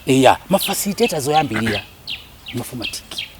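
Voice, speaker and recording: A man's voice: mid-range voice, very animated, fast, noisy background. A Malawian man talking as an interview guest, telling stories in an emphatic, self-assured way with the odd hesitant "eh". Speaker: interview guest, actor and lecturer. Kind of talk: animated conversational storytelling in an interview.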